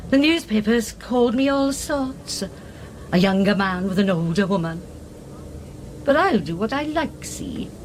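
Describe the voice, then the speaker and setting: A woman's voice: mid-range voice, a little hiss or hum, close to an everyday voice. An actress playing a brash, proud, faded singing star in her late sixties, reminiscing theatrically and earthily in a radio play. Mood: boastful, reminiscing. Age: older.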